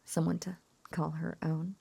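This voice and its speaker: A woman playing a lovesick, possessive, lonely witch in an intimate audio roleplay, coaxing and halting in a breathy whisper. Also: low voice, flat delivery, mellow, no room sound, exaggerated voice. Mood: lonely, yearning.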